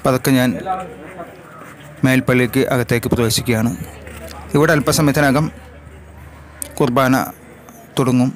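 A man with a Malayali accent narrating a travel vlog slowly and in measured, short separated phrases. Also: mid-range voice, fairly even intonation. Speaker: vlogger. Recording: loud music over the voice. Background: loud music.

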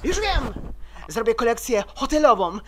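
A woman's voice: high voice, expressive, moderate pace, slight background noise. A woman voicing a dramatic, fussy young fashion-designer pony in an amateur cartoon dub. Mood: determined.

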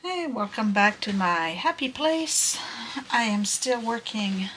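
A woman vlogger chatting casually about her quilting at home, soft-spoken and sometimes dropping to a whisper. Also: fairly high pitch, expressive, slow pace. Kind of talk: casual vlog narration. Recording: noisy home recording.

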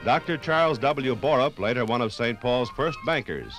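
An American man narrates a 1950s radio documentary from a script. His mid-pitched voice moves in lively contours, with music clearly present. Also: normal speed, poor audio.

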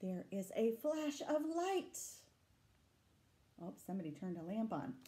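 A middle-aged woman reading a picture book aloud to children, dramatic and deliberate, adding asides and questions for her young listeners. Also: medium pitch, big pitch swings, dark tone. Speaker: library storytime reader. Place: small room indoors. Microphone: camera a short distance away.

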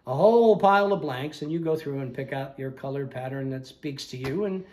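A man's voice: medium pitch, brisk, darkish tone. An older man, the owner of a woodworking school, chatting casually and explaining things with small jokes as he walks through a large indoor shop, recorded on a camera held by the interviewer. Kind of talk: casual shop tour talk.

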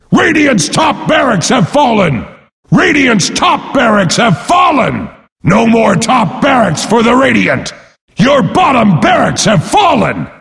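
A brash warrior brute announcing a video game battle. He bellows pompously at a raised, high pitch, drawing his words out slowly. Every line rings in a long, booming reverb. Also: gravelly, fairly bright tone, exaggerated voice. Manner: bellowing, pompous, speaks of himself in third person. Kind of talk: bombastic game announcer lines.

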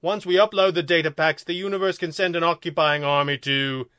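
A man's voice: on the high side, steady tone, fairly quick, bright, forceful tone, clean tone, no room sound, clean studio sound, pitched above the performer's own voice. A man as a bossy, officious alien stickler. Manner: officious, emphatic, scolding.

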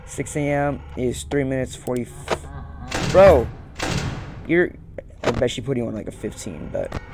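Creaky-voiced young man muttering over a game. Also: mid-range voice, unhurried, background noise. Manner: nervous, repeats words and phrases in muttered strings. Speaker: gaming video host. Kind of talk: casual play-by-play game commentary. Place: indoor room at home.

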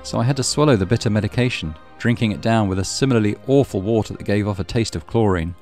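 A man's voice: on the low side, quick pace, a little mellow, faint music behind. A man reading a horror visual novel aloud as dramatic first-person narration. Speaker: game streamer reading aloud.

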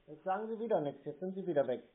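A man's voice: sits high, expressive, very fast. A man in a casual phone conversation, sounding hesitant, noncommittal and skeptical as a customer. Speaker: scambaiter posing as a customer. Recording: noisy narrowband phone call.

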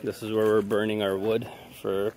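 A man's voice: lowish voice, flat delivery, normal speed, darkish tone, low-quality recording. A man walking through a campsite outdoors, pointing out the gear one item at a time while filming on a phone. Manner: plain, listing things one by one. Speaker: family member giving a campsite tour. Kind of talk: casual walkthrough tour.

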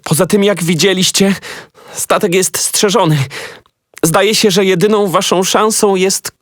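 A performer playing a knowing, cautious boy at a space academy in a children's audio drama, with a husky voice. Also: medium pitch, fairly even intonation, unhurried, no room sound, clean studio sound, natural voice. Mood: confiding.

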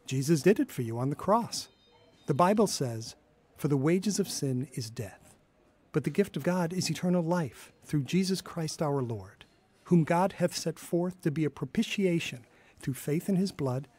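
An earnest young pastor speaks in a fairly high male voice. He is gentle and instructive, in the manner of a radio drama. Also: lively intonation, moderate pace, slightly rough. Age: young adult.

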